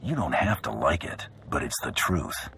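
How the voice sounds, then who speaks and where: An actor playing a gruff, cocky, seasoned bounty hunter in a video game, wry and unhurried in manner. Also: male voice, a bass voice, sing-song, bright, forceful tone, faint music behind, full character voice. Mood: cool, sardonic. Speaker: player character in a video game. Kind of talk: video game cutscene acting.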